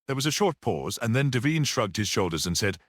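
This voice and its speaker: A man's voice: medium pitch, sing-song, a little fast, no echo, clean studio sound. A man reading a story aloud as an audiobook narrator, in scripted narration.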